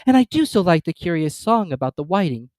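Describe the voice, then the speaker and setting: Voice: mid-range voice, big pitch swings, fairly quick, darkish tone, no room sound, studio quality, voice pushed up, natural voice. A reader voicing a polite, timid and curious little girl in a storybook.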